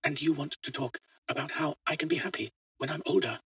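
A man's voice: a little high, expressive, a little fast, a bit bright, husky, no room sound, recorded from a distance, pitched above the performer's own voice, full character voice, poor audio. A man voicing a fearless, chatty eleven-year-old boy who talks simply and matter-of-factly in a story. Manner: simple, childlike, matter-of-fact. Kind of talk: audio short story dialogue.

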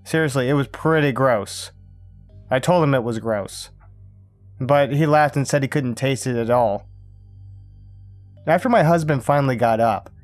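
A man reading a first-person scary camping story aloud in a calm, even storytelling manner. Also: medium pitch, dry recording. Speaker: narrator. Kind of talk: scripted horror story narration.

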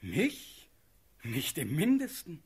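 An actor playing a proud, passionate young prince condemned to death, declaiming verse in a husky, rough voice in a radio play. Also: male voice, a little high, huge pitch swings, darkish tone, heavily acted. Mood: defiant, trusting. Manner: declamatory, impassioned, pausing for effect.

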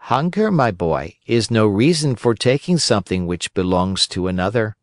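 A man voicing a tiny female glowworm in a children's storybook, pitying and moralizing in a measured, gently admonishing way. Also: lowish voice, expressive, dry recording, studio quality, natural voice. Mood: gently reproving.

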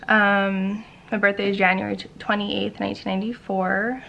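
A woman's voice: mid-range voice, flat delivery, moderate pace, vocal fry, dull audio, slight background noise. A 25-year-old woman from Hawaii with an American accent, chatting casually to camera in an informal, hesitant way that trails off. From Hawaii, United States. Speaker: vlogger. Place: room in a house, indoors.

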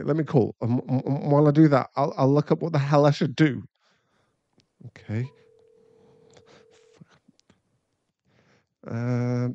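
British male, acting, caring young boyfriend for ASMR roleplay; soft-edged mellow tone, close dry sound. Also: medium pitch, no echo, lo-fi sound. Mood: panicked, pleading. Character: caring young boyfriend, chatty and easily flustered.

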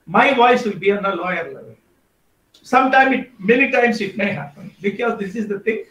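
High-voiced Indian man, echoey call. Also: brisk, sharp tone. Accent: Indian English. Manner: hesitant, many fillers and restarts. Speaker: guest speaker on podcasting. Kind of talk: online workshop talk. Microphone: video call. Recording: echoey, far from the mic.

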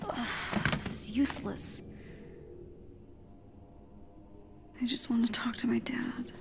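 An actress with an American accent playing an earnest, plucky teenage superhero girl in a game, with heartfelt, halting delivery. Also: a little high, little pitch movement, creaky, in a whisper. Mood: frustrated. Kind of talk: dramatic game cutscene acting.

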